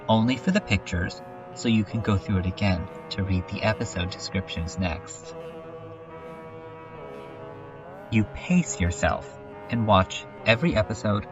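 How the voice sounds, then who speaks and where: A man playing a brooding, haunted adult narrator in an introspective dramatic monologue, reflective and halting. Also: fairly low pitch. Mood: nostalgic, absorbed. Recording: dull lo-fi recording, distant mic. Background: music.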